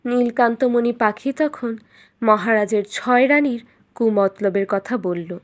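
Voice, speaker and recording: A woman storyteller reading a scripted fairytale in an expressive, dramatic way. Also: a little high, measured pace.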